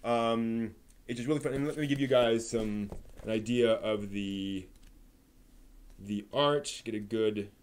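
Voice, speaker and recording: A man hosting a live stream, giving a manga review in an articulate, expressive way. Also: lowish voice, a little slow, a little hiss or hum. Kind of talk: manga review on a live stream, part prepared, part casual chat.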